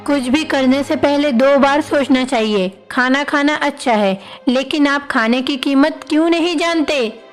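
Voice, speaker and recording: A woman's voice: sits high, a little slow, soft background music. A woman playing a stern mother goddess, scolding and commanding, in devotional storybook dialogue. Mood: angry, scolding.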